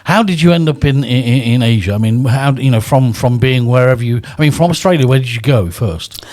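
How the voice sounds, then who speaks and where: A man's voice: mid-range voice, a little fast, a little mellow. Male podcast host, playful, repetitive, studio chat. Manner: playful, chuckling, repeats himself. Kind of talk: casual podcast interview.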